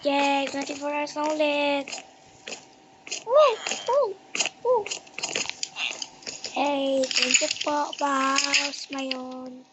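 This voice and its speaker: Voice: sits high, drawn-out words, long pauses. A child with a Filipino accent chatting casually while playing a game, role-playing and repeating short phrases to themself. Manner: repetitive, talking to self in short phrases. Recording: very noisy home recording with clicks.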